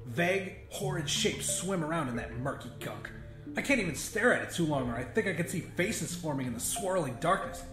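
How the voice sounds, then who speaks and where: A man's voice: fairly high pitch, animated, brisk. A man narrating a scripted first-person horror comedy in a conversational, sweary storytelling manner. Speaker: audiobook narrator. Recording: roomy echo with music behind. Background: music.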